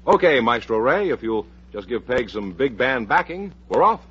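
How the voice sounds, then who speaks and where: Husky American radio announcer, swooping delivery. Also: male voice, medium pitch, big pitch swings, moderate pace, fairly bright tone. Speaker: radio show announcer and host. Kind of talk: scripted 1950s radio variety show patter and recruiting pitch. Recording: dull vintage radio recording.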